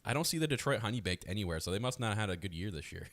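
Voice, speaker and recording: Low-voiced man talking hockey. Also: expressive, racing, fairly bright tone, no echo, clean studio sound. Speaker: co-host and hockey draft analyst. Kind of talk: casual sports podcast chat.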